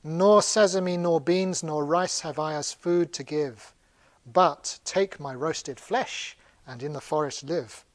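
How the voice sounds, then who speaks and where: A man's voice: on the high side, takes it slowly, no echo. A man telling a story aloud, voicing a happy, selfless hare who speaks earnestly and eagerly. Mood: earnest, generous. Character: selfless, happy, excited hare offering himself as food. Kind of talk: oral storytelling character voice.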